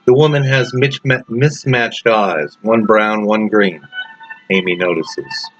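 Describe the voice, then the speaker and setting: Low-voiced male story narrator over music. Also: slow pace. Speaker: author reading own story. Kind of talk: dramatic scripted audiobook narration. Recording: dull, lo-fi narration track.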